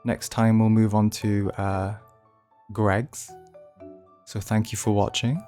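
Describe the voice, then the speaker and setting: A man's voice: lowish voice, flat delivery, slow pace, dark tone, faint music behind. Chatty man with a cold. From United Kingdom. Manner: chatty, ranting, self-deprecating asides. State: has a cold. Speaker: gaming channel host. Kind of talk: casual gameplay commentary.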